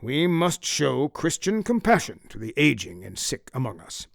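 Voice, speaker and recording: Man playing a solemn, pious patriarch, gravelly. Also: mid-range voice, sing-song, moderate pace, dry recording, clean studio sound. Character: pious, compassionate patriarch, firm and persuasive. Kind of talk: audiobook character acting.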